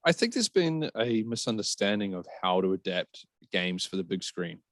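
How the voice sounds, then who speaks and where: A man's voice: on the low side, sing-song, normal speed, no room sound, clean studio sound. A man giving blunt opinions as a panelist in a casual podcast chat.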